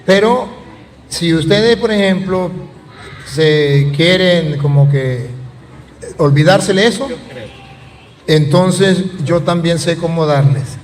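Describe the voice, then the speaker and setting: A man's voice: on the high side, slow pace, long pauses. A man with a frank, plain-spoken way, informally moderating a religious debate before an audience. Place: large hall with an audience. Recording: noisy echoing hall.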